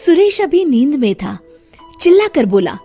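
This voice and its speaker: A woman storyteller with a high, swooping voice tells a moral tale from a script. She takes it slowly and expressively, with faint music behind her. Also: very animated, warm tone.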